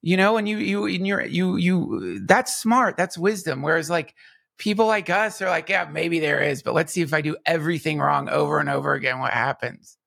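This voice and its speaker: Gravelly, husky middle-aged man. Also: on the high side, moderate pace, no room sound, clean studio sound. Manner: animated, rambling with many restarts. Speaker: podcast co-host. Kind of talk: casual conversation.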